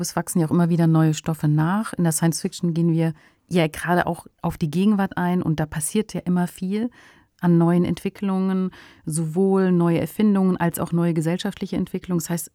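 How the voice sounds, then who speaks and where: Woman, very low pitch, soft mellow tone, conversational podcast co-host. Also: level tone, normal speed, no room sound, clean studio sound. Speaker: podcast co-host, fiction writer. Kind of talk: conversational literary discussion.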